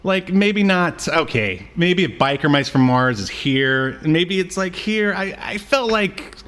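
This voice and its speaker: A man's voice: sits high, animated, unhurried, a bit bright. A man co-hosting a podcast in casual chat, talking in a loose, rambling way with chuckles. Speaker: podcast co-host. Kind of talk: casual chat about cartoons.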